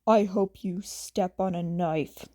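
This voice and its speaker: Woman acting a young man, storybook dialogue, bossy, exasperated, dry slang, relaxed mellow timbre. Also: fairly low pitch, takes it slowly, voice pushed down.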